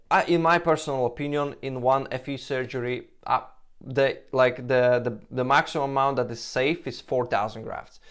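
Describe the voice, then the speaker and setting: A man from Lithuania, whose first language is Russian, talks in accented English. His pitch sits in a middle range, and his delivery is casual and halting, full of restarts, "like" and "you know". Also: smooth. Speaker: hair transplant Q&A host. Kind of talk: casual Q&A answering viewer questions.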